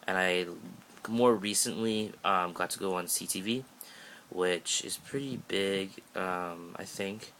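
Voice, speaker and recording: A sixteen-year-old Canadian guy rambles through a casual vlog update, joking and restarting his sentences. His voice sits low, with a bright, forceful edge. He's filming on a phone held at arm's length, with a faint hiss of background noise. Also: slow pace, rough recording. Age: teens. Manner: rambling, chatty, jokey, many fillers and restarts. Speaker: vlogger.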